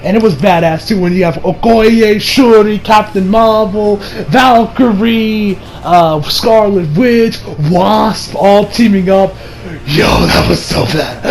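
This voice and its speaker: A young man giving a casual, unscripted movie review in an excitable, gushing manner with plenty of swearing. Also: high voice, moderate pace, poor audio.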